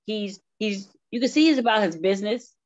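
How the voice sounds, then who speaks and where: A woman talks casually as an interview guest from a stage play cast. She is emphatic, circling back on her phrases to press a point. Her pitch is middling, and the audio is dull and lo-fi. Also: expressive, a little fast. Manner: emphatic and repetitive, builds on phrases. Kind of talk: casual talk show interview.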